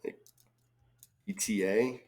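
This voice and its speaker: A man with a slightly hoarse voice from a cold, casually thinking aloud as he solves a crossword, reading clues and muttering guesses. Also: lowish voice, fairly even intonation, takes it slowly, darkish tone. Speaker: crossword solving video host.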